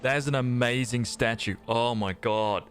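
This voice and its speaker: A man's voice: mid-range voice, normal speed, soft background music. A man giving casual, slangy reaction commentary as a game streamer.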